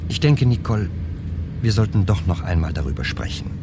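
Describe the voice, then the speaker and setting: An actor playing a nervous, reluctant lover and accomplice, cautious and hesitant, in a 1970s crime radio play. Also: male voice, low-pitched, animated, fairly quick, background noise. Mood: hesitant, doubtful.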